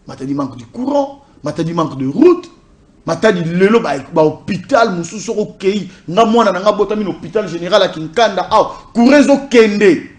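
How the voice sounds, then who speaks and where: A man from DR Congo's Congo Central making his case in a political interview. He speaks emphatically, hammering points home with repeated lists, in a fairly high voice. As the party's communication officer he argues with insistence. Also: lively intonation, normal speed. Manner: emphatic, repetitive listing. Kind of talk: political interview, code-switching.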